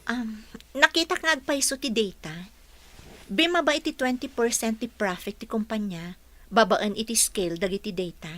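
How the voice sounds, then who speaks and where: A woman's voice: fairly high pitch, normal speed, bright, forceful tone. An actress with a Filipino Ilocano accent playing a polite, eager young woman seeking office work in a radio drama. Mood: earnest. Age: young adult. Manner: polite, deferential. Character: polite, eager young female job applicant and dutiful daughter.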